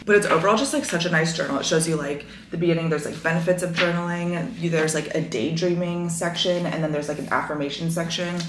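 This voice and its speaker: A woman's voice: lowish voice, fairly even intonation, fast talker, few pauses. A young woman in her twenties chatting casually to camera for her lifestyle vlog, with lots of fillers, recorded on a camera mic in her apartment. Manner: chatty, many fillers, enthusiastic about stationery. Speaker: lifestyle vlogger. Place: apartment living room, indoors. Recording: echoey room with faint noise.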